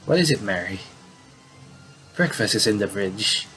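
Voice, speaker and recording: A man voicing a calm, matter-of-fact brother in a horror visual novel. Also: on the low side, a little slow. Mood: unbothered. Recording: clearly noisy home recording.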